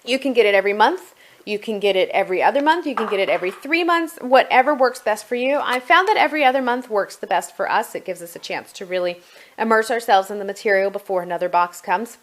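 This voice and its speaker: A woman's voice: medium pitch, quick pace. A woman giving a casual product review as a homeschooling mother, chatty and demonstrative, thinking aloud as she goes.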